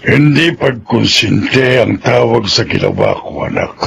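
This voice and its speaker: Gravelly old Filipino grandfather. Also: mid-range voice, very animated, measured pace, fairly bright tone, slight background noise, exaggerated voice. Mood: remorseful, solemn. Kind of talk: radio drama acting.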